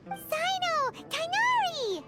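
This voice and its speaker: A woman voicing a tiny, curious, cheerful fairy companion with a squeaky childlike voice in a video game. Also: very animated, slow, heavily acted. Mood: excited. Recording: loud game music behind. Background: game music.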